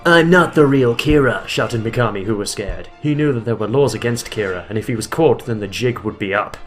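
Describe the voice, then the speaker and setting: A man giving a comedic dramatic reading of a bad fan fiction, with loud voiced quotes. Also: medium pitch, a little fast, faint music behind. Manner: reads deadpan, breaks into laughter, voices quotes loudly.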